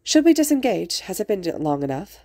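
A woman's voice: mid-range voice, very animated, a little fast, dark tone, no echo, clean studio sound, pitched above the performer's own voice. A woman voicing a composed, practical villain in a story, businesslike with a teasing streak. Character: composed, practical villain playing along with boy.

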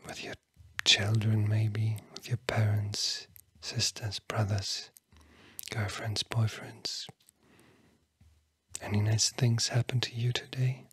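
A tired-sounding ASMR host whispering a bedtime talk in a hushed, soothing, breathy way with long pauses. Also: medium pitch, little pitch movement, no echo, clean studio sound.

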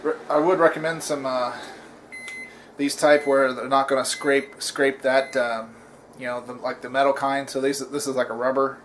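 A man hosting a casual home cooking demo in his kitchen, talking in a relaxed, rambling way with lots of fillers and restarts. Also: mid-range voice, moderate pace, slight background noise. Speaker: cooking channel host.

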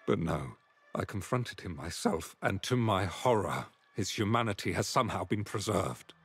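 A low, husky, solemn knight. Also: male voice, lively intonation, moderate pace, a bit bright, dry recording, exaggerated voice. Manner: formal, solemn, archaic phrasing. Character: grave, dutiful male knight ally giving a quest. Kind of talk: scripted video game quest dialogue. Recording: game music behind the voice.

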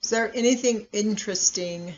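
A meditation guide speaking in a measured, instructional way with long pauses between phrases. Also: medium pitch. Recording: dull, lo-fi recording.

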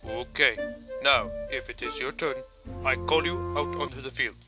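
A man's voice: mid-range voice, moderate pace, close to an everyday voice. A man playing a curt, commanding fighters' coach in a fantasy parody. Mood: brisk, bossy. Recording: music behind the voice.

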